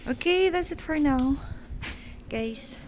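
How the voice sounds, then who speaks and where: A woman with a Filipino accent chatting casually and haltingly for a vlog while walking outdoors, mixing in English phrases. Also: high voice, expressive. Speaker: vlogger. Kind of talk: casual walk-and-talk vlog chat. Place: outdoors on a windy countryside walk. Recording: noisy windy outdoor ambience.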